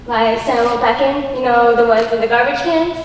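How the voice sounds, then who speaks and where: A woman's voice: fairly high pitch, moderate pace, natural voice. A young woman playing an airy, amused actress backstage in a stage play, recorded in an echoing auditorium. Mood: amused, chatty. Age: young adult. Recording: very echoey auditorium, distant mic, faint noise.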